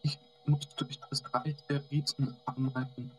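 A man's voice: mid-range voice, little pitch movement, measured pace, soft background music, lightly acted. A man acting in a radio play as a dazed, obedient boy patient who gives short, unsure answers. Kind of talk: German radio drama acting.

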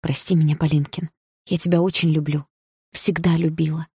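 A woman's voice: deep voice, level tone, normal speed, darkish tone, husky, no room sound, clean studio sound, heavily acted. A woman voicing a desperate, protective mother in an audiobook, emotional, pleading and defiant. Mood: firm, tender. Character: desperate protective mother, long-suffering wife standing up. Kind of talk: audiobook character dialogue.

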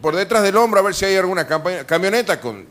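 A man's voice: sits high, lively intonation, fast, a bit bright. An Argentine man hosting a radio show with humorous, casual banter, telling anecdotes in a wry, colloquial, sweary way. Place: live radio broadcast from a bar.

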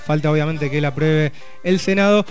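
A man hosting a radio program, chatting with co-hosts and reading regional news in a relaxed, conversational way. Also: fairly high pitch, fairly quick, faint music behind. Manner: relaxed, conversational, reads news items with asides. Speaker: radio host. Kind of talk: community radio news chat.

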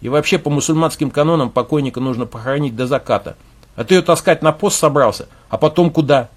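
A man's voice: a little high, quick pace, close to an everyday voice. A man voicing a blunt, commanding war veteran who speaks curtly and with scorn. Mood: stern. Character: tough, blunt male leader, war veteran.

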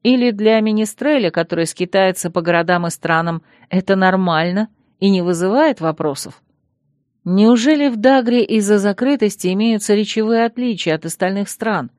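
A woman narrating a first-person fantasy novel in a measured, composed way. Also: medium pitch, a little mellow, dry recording, studio quality. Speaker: audiobook narrator.

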